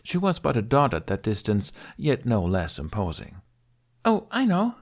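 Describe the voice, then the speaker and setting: A man with a fairly low voice narrates an audiobook. He tells an adventure story in an expressive, scripted storytelling style. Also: moderate pace.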